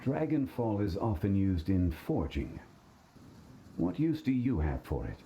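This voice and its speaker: A man voicing a composed, learned gentleman investigator in a video game, speaking in a measured, formal, deliberate way. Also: deep voice, big pitch swings, slight background noise. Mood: thoughtful. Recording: music behind the voice.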